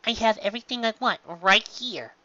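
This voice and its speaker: A performer voicing a cheerful, gentle mother pony mare with a bright, exclaiming manner in a story reading. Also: mid-range voice, normal speed, dull audio, voice pushed up, natural voice. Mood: happy, content.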